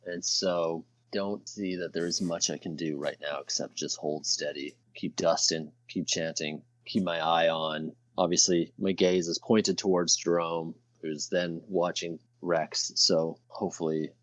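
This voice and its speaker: A man playing a tabletop game, talking casually and thinking aloud in a deliberate way. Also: deep voice, steady tone, no echo.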